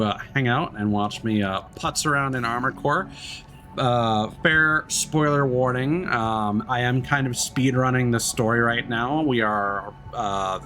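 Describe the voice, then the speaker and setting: A man with a medium-pitched voice chats on a game livestream. He rambles in a casual, easygoing way and often hesitates. Faint music runs behind him. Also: a bit bright. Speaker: live streamer. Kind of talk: casual game stream chat.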